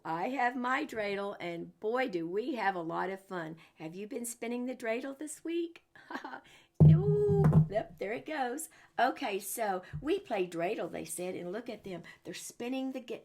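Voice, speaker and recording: A woman with a fairly low voice and plenty of creak leads a story time for children. She reads from a picture book and breaks off to put questions to her young listeners, adding little exclamations along the way. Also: big pitch swings, a little slow, darkish tone. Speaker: storyteller and religious teacher for children.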